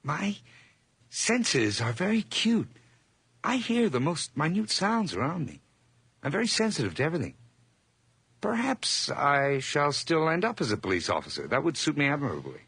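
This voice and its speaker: A man's voice: on the high side, very animated, normal speed, a bit bright, breathy edge, dry recording, full character voice. An actor playing a nervous, over-polite hired man hiding guilt, stammering and effusive in an old radio horror drama. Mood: nervous, eager.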